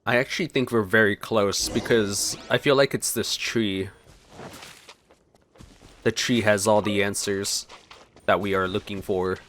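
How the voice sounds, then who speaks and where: A man's voice: on the low side, steady tone, a little slow, pauses often, a bit bright, a little hiss or hum. A man giving casual let's play commentary as a streamer, sometimes reading game text aloud. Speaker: gaming streamer.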